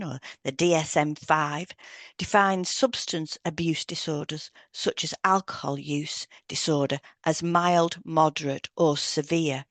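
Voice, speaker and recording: A woman reads scripted educational podcast narration. Her voice sits a little low for a woman. She explains points step by step, and the sound is dry, with no room around it. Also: no room sound. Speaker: podcast co-host, hypnotherapist.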